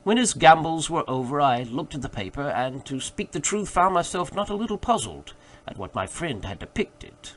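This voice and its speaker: Animated man narrating a classic story. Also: fairly high pitch, normal speed. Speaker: audiobook reader. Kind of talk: expressive scripted literary narration. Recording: faint background noise.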